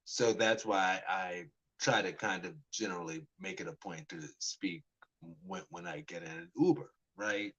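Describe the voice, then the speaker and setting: A man around forty chats in an unhurried, rambling way, often stumbling and restarting his sentences. His voice sits a little low, and he acts out both sides of the conversations he retells. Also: expressive, creaky, fairly bright tone, poor audio. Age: 40s. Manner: rambling, many restarts and stammered repeats. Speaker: vlogger. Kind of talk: casual monologue to camera.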